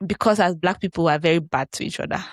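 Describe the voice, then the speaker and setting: A woman's voice: lowish voice, fast, no echo, clean studio sound. A woman co-hosting a podcast in casual chat, frank and opinionated, often chiming in with agreement. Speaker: podcast co-host.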